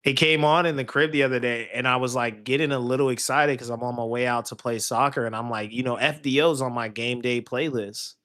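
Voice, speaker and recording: A man about to turn thirty-two, co-hosting a casual podcast and giving his opinions slowly and deliberately. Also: medium pitch, fairly even intonation, fairly bright tone. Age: 30s. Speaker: podcast co-host. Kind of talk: casual chat.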